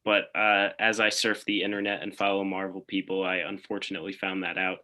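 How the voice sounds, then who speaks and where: A man with a low voice and a bright, forceful edge, co-hosting a podcast. He talks casually and thinks out loud, hedging as he goes. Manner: thinks aloud and hedges often. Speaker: podcast co-host. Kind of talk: casual chat.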